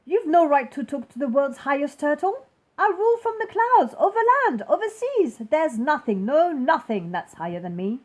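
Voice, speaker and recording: A woman plays a self-important storybook turtle king who rules by bellowing. The voice leaps high and swings up and down with every boast. The manner is bossy and grandly imperious. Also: big pitch swings, moderate pace, a little mellow. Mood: angry, commanding.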